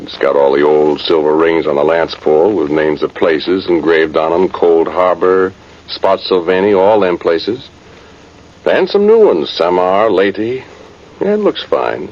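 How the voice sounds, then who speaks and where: A man's voice: deep voice, heavily acted. An actor, a man, playing a folksy, rueful cavalry sergeant rambling through a radio drama monologue. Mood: rueful, animated. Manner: folksy, ungrammatical, rambling soldier talk. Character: folksy, rueful old-time cavalry sergeant, a ghostly soldier. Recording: muffled, hissy vintage radio recording.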